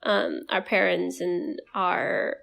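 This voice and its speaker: A woman from Indonesia, a native Indonesian speaker, co-hosting a casual podcast and talking in an animated way, full of "like". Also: on the low side, slow, creaky. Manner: animated, heavy use of "like" as filler. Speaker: podcast co-host. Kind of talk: casual conversational podcast chat.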